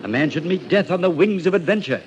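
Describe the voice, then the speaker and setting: Actor as genteel old gentleman, very animated. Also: fairly high pitch, quick pace, highs cut off, close to an everyday voice. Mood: urbane, persuasive. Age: older. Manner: urbane, theatrical, courtly. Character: genteel, eccentric elderly gentleman calmly courting death. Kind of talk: old-time radio drama acting. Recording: noisy old-time radio recording.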